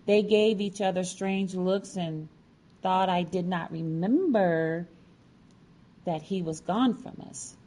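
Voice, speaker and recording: A woman narrating a personal story from a script, with deliberate, dramatic phrasing. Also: lowish voice, mellow. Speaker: featured storyteller.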